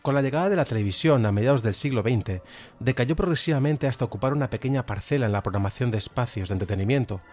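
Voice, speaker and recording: A man with a Spain Spanish accent presenting a podcast in an explanatory, measured way. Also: medium pitch, animated, a little mellow. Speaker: podcast host. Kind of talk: podcast presentation, semi-scripted. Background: music.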